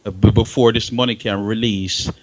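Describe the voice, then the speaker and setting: A man in a talk-show discussion, speaking haltingly and often repeating words as he restarts phrases. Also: on the low side, fairly even intonation, a little hiss or hum.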